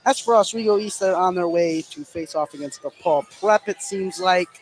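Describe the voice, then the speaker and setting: Male radio play-by-play announcer, slightly high voice, live basketball call over noticeable gym ambience. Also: moderate pace. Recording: clear background noise, live gym ambience.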